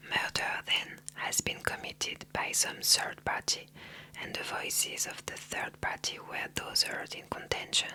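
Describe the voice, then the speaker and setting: Whispering reader as a measured, analytical detective; slow and breathy. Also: pushed and bright, rough recording.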